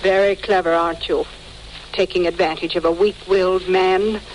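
An actress playing a cold, threatening wife in 1940s radio drama style. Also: female voice, fairly low pitch, fairly even intonation, lightly acted. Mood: icy, threatening. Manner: icy, deliberate, menacing. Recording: faintly noisy old radio recording.

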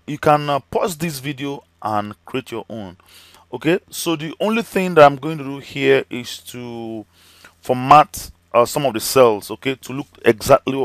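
Male teacher, tutorial voice-over, slightly hoarse and cracking, lots of "okay"s, dry close sound. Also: medium pitch, fairly bright tone, no room sound. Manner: chatty, many fillers and "okay" checks. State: voice slightly cracking, losing his voice. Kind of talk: screen-recorded software tutorial.

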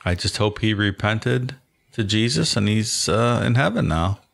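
A young man co-hosts a casual podcast and cracks dry jokes. His low voice is gravelly with fry and swings widely in pitch. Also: lively intonation, unhurried. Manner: dry, wisecracking. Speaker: podcast co-host.

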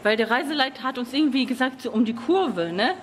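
A woman's voice: high voice, expressive, fast, a bit bright, slight background noise. A woman in her thirties chatting casually to a handheld camera, rambling a little and tired from the humid heat, in a hotel lobby and on the street. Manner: chatty, rambling, frequent "genau" and "ne?" tag questions. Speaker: travel vlogger. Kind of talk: casual vlog chat to camera. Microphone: handheld camera at arm's length.

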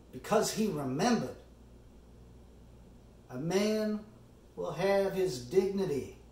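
A man performing a stage monologue as an earnest, impassioned middle-aged defence lawyer, speaking deliberately with dramatic pauses and emphatic oratory. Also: sits high, exaggerated voice. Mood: grave, pleading. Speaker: amateur actor performing a monologue. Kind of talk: theatrical courtroom summation monologue. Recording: roomy echo, distant microphone.

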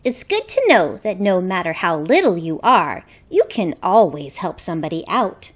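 A woman's voice: fairly low pitch, very animated, normal speed, husky. A woman puppeteer telling stories to children. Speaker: puppeteer and storyteller. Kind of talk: children's storytelling.

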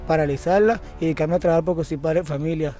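Fast-talking young Venezuelan man. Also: a little high, steady tone, warm tone, faint music behind. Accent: Venezuelan Spanish. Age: 20s. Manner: fast, slangy street talk. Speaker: street porter. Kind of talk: street interview. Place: outdoors on a busy border street.

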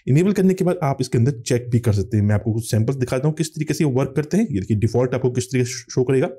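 A man's voice: mid-range voice, expressive, very fast, warm tone, husky. A man hosting a tech channel, explaining phone features step by step in tutorial commentary.

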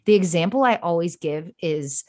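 A woman's voice: lowish voice, expressive, moderate pace, mellow. A woman talking casually as a podcast guest, chatty and given to acting out little quotes as she explains. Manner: chatty, illustrates points with mimicked quotes. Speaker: podcast guest, co-creator and romance writer. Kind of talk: casual interview conversation.

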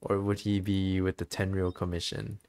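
A man's voice: sits low, little pitch movement, normal speed, vocal fry, darkish tone. A man giving casual gameplay commentary as a streamer.

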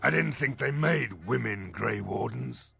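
An actor playing a gruff, weary, skeptical village mayor in a fantasy video game, blunt and grumbling, with a husky voice. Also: male voice, medium pitch, expressive, a little slow, a bit bright, exaggerated voice. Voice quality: gravelly, husky.

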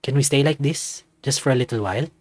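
A man voicing an affectionate, excitable young male otter in a visual novel. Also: medium pitch, fast talker, no room sound, natural voice. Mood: tender.

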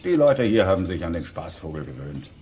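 An actor playing an easygoing village uncle in a children's radio play, speaking in a folksy, chuckling way. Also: male voice, sits low, sing-song, a little fast, mellow, a little hiss or hum. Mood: gossipy, relaxed.

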